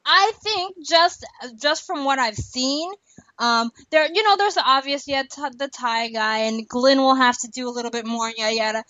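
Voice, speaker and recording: Woman, bright sharp voice, podcast host, colloquial, opinionated, dry muffled recording. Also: high voice, normal speed, no room sound. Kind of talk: casual TV discussion chat. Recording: dull, muffled lo-fi audio.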